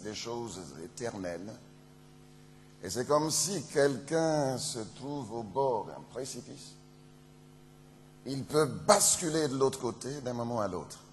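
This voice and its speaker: Slow solemn man from Ghana preaching. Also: mid-range voice, darkish tone. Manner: slow, solemn storytelling delivery. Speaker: pastor. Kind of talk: sermon testimony. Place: church. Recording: reverberant church hall, faint hum.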